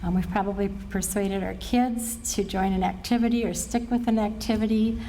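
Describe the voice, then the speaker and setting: Mellow middle-aged American woman presenting. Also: medium pitch, fairly even intonation, normal speed, slight background noise, low-quality recording. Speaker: suicide prevention trainer and presenter. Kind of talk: training presentation to a live group. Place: presentation room at a care facility.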